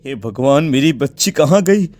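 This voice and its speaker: A man's voice: medium pitch, lively intonation, normal speed, a little mellow, no room sound, lightly acted. A man playing an anxious village father in a melodramatic children's cartoon. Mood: distraught. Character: anxious village father searching for his daughter. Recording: background music under the voice.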